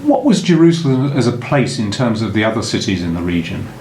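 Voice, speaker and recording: A man's voice: lowish voice, very animated, brisk, a little mellow. A man interviewing a historian, asking formal, articulate questions. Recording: echoey room, faint noise.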